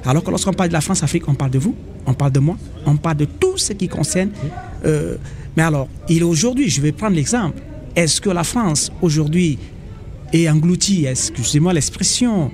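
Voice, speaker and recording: Earnest Cameroonian man, halting speech. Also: fairly high pitch, animated, darkish tone. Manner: hesitant, earnest, many pauses. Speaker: association representative. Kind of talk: radio interview. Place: outdoor association fair.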